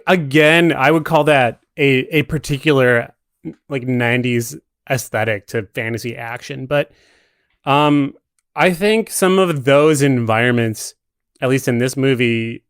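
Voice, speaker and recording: A man with a medium-pitched voice, recorded dry without room sound. He chats casually about films as a guest, measured and analytical, qualifying his points as he goes. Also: dry recording. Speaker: podcast guest. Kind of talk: casual film discussion.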